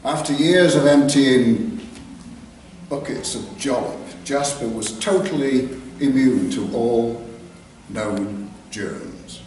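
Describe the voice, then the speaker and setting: An older man with an English East Midlands accent, telling a story live with careful diction and dramatic pauses. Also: mid-range voice, a little mellow. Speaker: author reading his own work. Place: live reading in a theatre clubroom. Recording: very echoey hall, distant mic, background noise.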